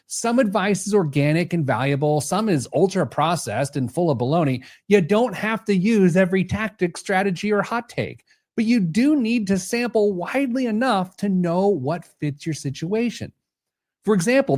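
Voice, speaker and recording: A man's voice: sits high, darkish tone. A man hosting a podcast, delivering a scripted monologue in a wry, joking, conversational manner. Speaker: podcast host. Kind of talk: scripted personal finance monologue.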